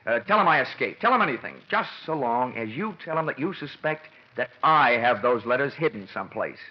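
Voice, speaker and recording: An actor playing a cocky, wisecracking insurance investigator in an old radio detective drama, with a sardonic, slangy delivery. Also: male voice, mid-range voice, animated, a little fast, husky. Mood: urgent, wry. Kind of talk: 1940s-50s radio detective drama acting. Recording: dull vintage radio recording, music behind.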